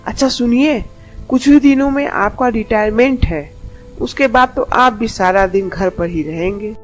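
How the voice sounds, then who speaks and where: A woman's voice: a little high, moderate pace, faint music behind, lightly acted. A woman playing a trusting, doting older mother-in-law in a Hindi moral story. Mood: trusting, content.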